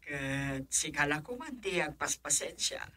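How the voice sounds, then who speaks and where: A woman's voice: low voice, expressive, measured pace, pushed and bright, exaggerated voice. An actress playing an apologetic, placating middle-aged mother in a radio drama, with an Ilocano accent. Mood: apologetic, soothing. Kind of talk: Filipino radio drama acting.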